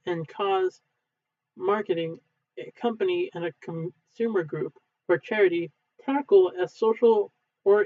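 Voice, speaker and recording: Thin phone-like recording, high-voiced man, halting textbook read-aloud, unedited, self-correcting. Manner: halting, stumbles and corrects misread words. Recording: thin phone-like low-fidelity sound.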